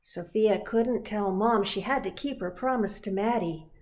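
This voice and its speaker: A woman narrating a children's picture book in an expressive, deliberate storytelling manner. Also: medium pitch. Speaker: pastor reading a picture book.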